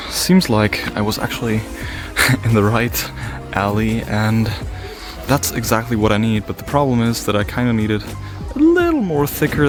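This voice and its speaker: A man with a German accent, a native German speaker, chatting casually to camera as a car build vlogger who laughs at himself. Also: fairly low pitch. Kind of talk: casual vlog narration. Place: car workshop.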